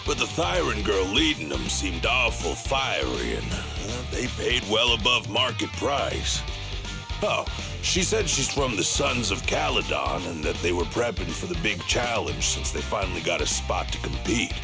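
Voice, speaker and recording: Old-timer shopkeeper, game role: lowish, animated, wary yet helpful, music underneath. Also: male voice, unhurried. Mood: matter-of-fact. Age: older. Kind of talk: video game voice acting. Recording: music behind the voice.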